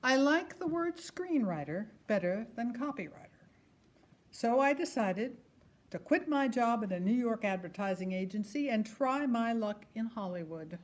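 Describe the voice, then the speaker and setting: A woman performing a scripted letter reading in a theatrical style, expressively savouring individual words. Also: on the high side.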